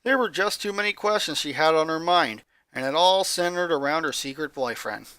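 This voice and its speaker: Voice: mid-range voice, lively intonation, fairly quick, bright, forceful tone, clear, resonant tone, no room sound. A person reading a fan fiction story aloud as scripted narration. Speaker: story reader.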